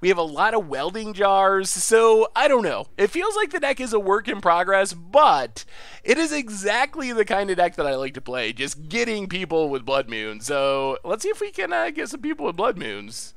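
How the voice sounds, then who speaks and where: A man streaming and commenting on a card game in a casual, excitable way, laughing often, his voice a little congested. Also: high-pitched, normal speed, no room sound.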